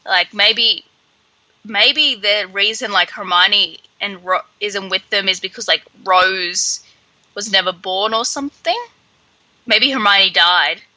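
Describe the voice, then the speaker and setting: Very bright, dry-sounding woman chatting. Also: mid-range voice, takes it slowly, dry recording. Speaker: podcast co-host. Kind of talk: casual book read-along chat.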